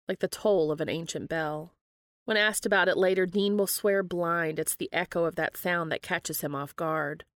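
A woman's voice: fairly low pitch, fairly bright tone, no room sound. A woman hosting and reading fan fiction aloud as scripted narration. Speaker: podcast host and reader.

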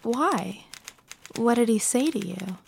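A woman plays a playful, chatty office friend in an audio drama. Her voice is fairly high, breezy and teasing. Light typing noise is heard behind her. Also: expressive, slow pace. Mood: concerned.

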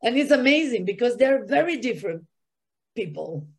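A woman's voice: fairly high pitch, very animated, moderate pace. A woman from Argentina, a Spanish native speaker, talking in an interview in earnest, non-native English with an Argentine accent. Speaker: guest. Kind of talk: podcast interview.

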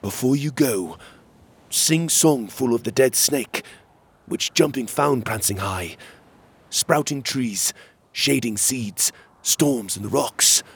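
Man as nervous young guard, husky voice. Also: medium pitch, slow, pitched above the performer's own voice, full character voice. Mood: solemn. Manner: formal, hesitant, deferential. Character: nervous, dutiful young palace guard, male, winged.

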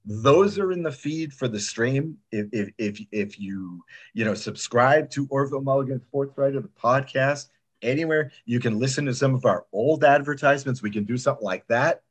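A man's voice: medium pitch, lively intonation, normal speed, breathy edge. A man talking casually and emphatically as a podcast guest, with informal slang. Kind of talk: casual interview chat.

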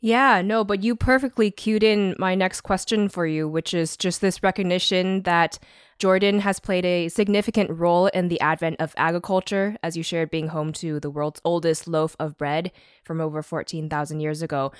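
A woman hosting a podcast, reading a measured introduction and asking clear interview questions. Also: fairly low pitch, fairly even intonation, dry recording, studio quality. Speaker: podcast host.

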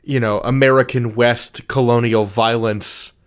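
A man's voice: mid-range voice, fairly even intonation, a little slow, clear, resonant tone. A man interviewing casually, thinking aloud in a tentative, wry way.

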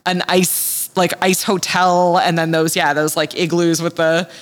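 A woman in her thirties from Montreal, co-hosting a podcast and telling stories in a quick, animated way. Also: lowish voice. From Montreal, Canada. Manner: animated storytelling, quick bursts. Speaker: podcast co-host. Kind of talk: casual chat. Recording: long hall reverb.

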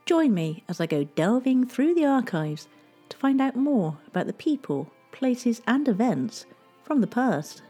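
A woman hosting a history podcast, telling past events in a measured, scripted storytelling delivery. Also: a little high, sing-song, warm tone, husky, soft background music.

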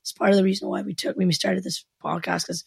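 A young adult woman hosting a podcast, with a raspy, hoarse voice, excitable and swearing casually as she chats. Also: medium pitch, fast, no echo, clean studio sound. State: hoarse, partly lost voice. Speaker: podcast host.

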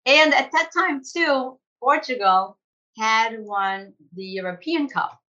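A woman school director telling her story in an interview, animated and conversational, laughing easily. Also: on the high side, slow, dull audio. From United States. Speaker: executive director of a language school.

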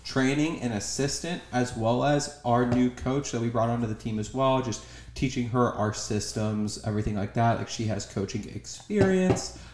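A man's voice: medium pitch, moderate pace, darkish tone, echoey room. A young man co-hosting a casual podcast, upbeat and blunt, swearing for emphasis. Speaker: podcast co-host.

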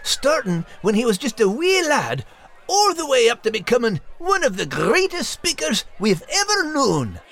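Casting: plucky cartoon-style critter, high-pitched, wildly swooping delivery, audiobook comedy. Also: male voice, huge pitch swings, normal speed, a bit bright, exaggerated voice. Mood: spirited. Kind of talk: animated audiobook character acting.

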